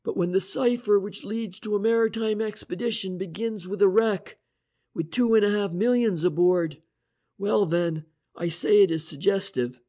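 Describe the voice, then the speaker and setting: A man voicing a brisk, excitable male police inspector who talks in an eager, emphatic and commanding way. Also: high-pitched, voice pushed up. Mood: excited.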